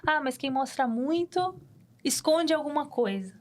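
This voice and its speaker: A woman's voice: high-pitched, lively intonation, a little slow. A woman, hosting a studio podcast and thinking aloud in a casual, reflective way, with restarts and open questions. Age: 20s. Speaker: podcast host. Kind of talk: casual chat.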